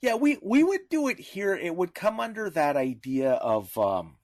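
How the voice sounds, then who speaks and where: A man's voice: high voice, sing-song, no room sound. Canadian man talking in stops and starts, dry sound. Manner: halting, with repeated words and restarts. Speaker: podcast co-host. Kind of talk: casual discussion of media law.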